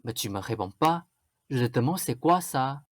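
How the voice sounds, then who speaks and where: A woman's voice: a bass voice, expressive, moderate pace, dry recording, clean studio sound. A woman playing a bossy, vain, suspicious stepmother in a radio drama, with a scolding, insistent manner. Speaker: student actor.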